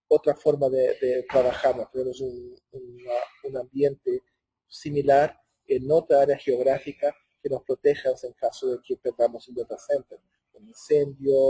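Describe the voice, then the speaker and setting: A middle-aged man with a Portuguese-tinged accent presents a technical webinar, his voice very raspy and dark in tone. The sound is dry and close. He talks conversationally and often restarts words mid-phrase. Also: medium pitch, dry recording. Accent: Brazilian Portuguese-tinged Spanish. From Chile. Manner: conversational, many restarts and self-corrections. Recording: muffled, hoarse-sounding office audio.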